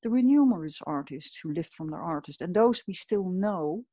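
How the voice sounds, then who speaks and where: A woman's voice: on the low side, animated, normal speed, mellow, breathy edge, dry recording, clean studio sound. A woman from the Netherlands with a Dutch accent, talking earnestly and reflectively as a podcast guest. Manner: earnest, reflective, slightly non-native phrasing. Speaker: guest artist. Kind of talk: podcast interview.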